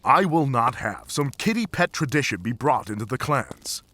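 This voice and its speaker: A man's voice: medium pitch, very animated, normal speed, pushed and bright, pitched above the performer's own voice. A man voicing a grumpy, scornful warrior cat, grumbling his words in a storybook tale. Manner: grumbling, hissing.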